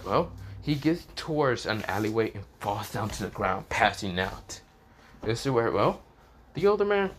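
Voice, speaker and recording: A man's voice: on the low side, a bit bright, slight background noise. Sick, rambling man telling a fan story. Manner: rambling, halting, repeats "this is where, well". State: sick, coughing. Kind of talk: improvised fan fiction storytelling.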